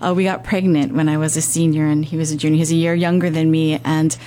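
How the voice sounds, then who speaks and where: A woman's voice: sits low, level tone, fast talker, dark tone. A woman pastor in her fifties from Hawaii, telling her story candidly in a casual interview.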